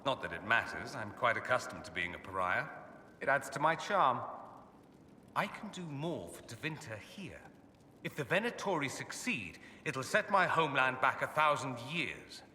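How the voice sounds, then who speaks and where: An actor playing a witty, proud aristocratic mage exile in a video game, urbane and theatrical with dry self-mockery. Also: male voice, medium pitch, animated, moderate pace, bright, forceful tone. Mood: wry, defiant. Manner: urbane, flamboyant, dryly self-mocking. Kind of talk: video game dialogue acting. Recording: echoey reverberant hall, faint background noise.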